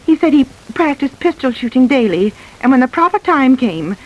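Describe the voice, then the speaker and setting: Nervous wife, swooping high voice, muffled recording. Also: female voice, very animated, moderate pace. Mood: anxious. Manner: hesitant, meek, anxious. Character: nervous, deferential young wife and mother under questioning. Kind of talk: old-time radio drama acting. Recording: muffled, hissy old radio recording.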